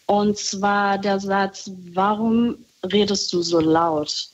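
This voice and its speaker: A woman talking casually on a call, reflective and soft-spoken, often laughing lightly. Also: medium pitch, steady tone, takes it slowly, lo-fi sound. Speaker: guest.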